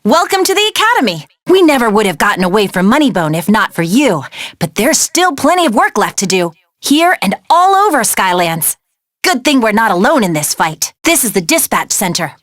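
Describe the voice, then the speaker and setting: A woman's voice: fairly high pitch, big pitch swings, normal speed, fairly bright tone, dry recording, clean studio sound. A woman playing a brave, caring young woman who guides heroes through battle in a video game, urging and encouraging them as she gives directions. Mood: friendly briefing. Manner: urgent, encouraging mission directions. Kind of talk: video game character voice lines.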